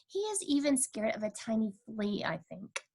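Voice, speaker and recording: A woman's voice: fairly high pitch, big pitch swings, normal speed, vocal fry, darkish tone, heavily acted. A woman voicing a cheeky, stubborn kindergarten girl who tells her own story with childish, emphatic, dramatic delivery. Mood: indignant, confiding. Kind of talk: first-person children's storybook reading.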